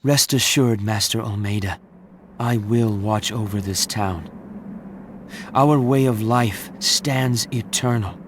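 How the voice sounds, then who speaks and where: A man playing a fervent, reverent disciple of a dead messiah in an animated video game cutscene, halting and solemn. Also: fairly low pitch, noisy background. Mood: solemn devotion.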